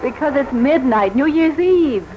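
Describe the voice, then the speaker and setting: A devoted American wife, anxious and earnest. Her voice is high and pleading, in the manner of 1940s radio drama. The recording is muffled, with a little background noise. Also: lively intonation, a little slow. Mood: anxious, tender. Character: anxious, devoted young wife and former nurse.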